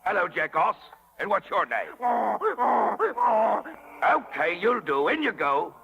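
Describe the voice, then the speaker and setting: Casting: gruff villain coachman, harsh and sharp, high-pitched, sneering, old dull radio recording. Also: very animated, slow, full character voice. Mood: mocking. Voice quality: raspy, gravelly. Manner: barking, mocking. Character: cruel, gruff villainous coachman herding captive boys. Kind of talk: 1930s radio acting. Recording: muffled 1930s radio broadcast.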